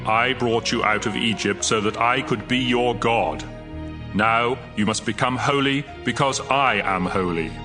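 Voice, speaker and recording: A man playing a solemn God, declaring scripture in a measured way over music. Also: medium pitch, close to an everyday voice. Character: God declaring holiness, solemn male deity. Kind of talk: dramatized scripture reading.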